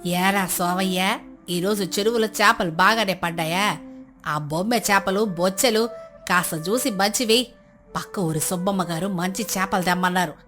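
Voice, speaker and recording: Wise village granny played by a female narrator: kindly, advising, pitched well below the narrator's voice, over background music. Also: moderate pace, a bit bright, natural voice. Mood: caring, firm. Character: kind, wise elderly village grandmother who sells fish.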